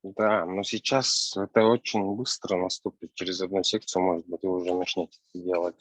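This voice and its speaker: A male mentor speaks in short, encouraging remarks to an online class. His voice is husky and his sound is dry and close. He is heard over a video call. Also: deep voice, flat delivery, brisk, no room sound. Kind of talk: giving feedback in an online class.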